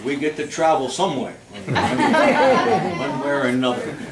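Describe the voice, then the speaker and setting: Man, higher-pitched, unhurried, mock-stern event leader, audience noise behind, roomy echo. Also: sing-song, a loud crowd. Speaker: sing-along leader at a holiday event. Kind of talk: joking live address to an audience. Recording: echoey room from far off, audience noise.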